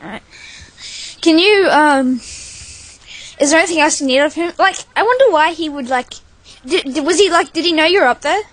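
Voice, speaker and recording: A woman's voice: sits high, normal speed, fairly bright tone, no room sound. A woman talking casually with a friend over voice chat while gaming, chatty and hesitant, full of fillers. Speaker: gamer.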